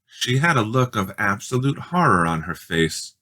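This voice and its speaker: A man reading a children's story aloud in expressive, measured, dramatic narration. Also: fairly low pitch, slight background noise. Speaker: storybook reader.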